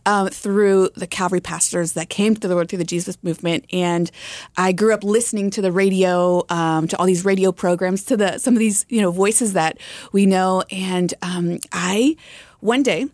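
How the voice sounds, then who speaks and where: A woman from Florida, a missionary guest, telling her life story in a relaxed studio chat. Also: on the low side, normal speed, dry recording. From United States, Florida. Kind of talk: casual interview chat.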